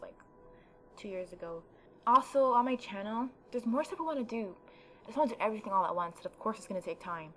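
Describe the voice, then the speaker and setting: A woman's voice: fairly low pitch, sharp tone, slight background noise, rough recording. A woman hosting a casual let's play, giving informal, opinionated commentary. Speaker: gaming video host.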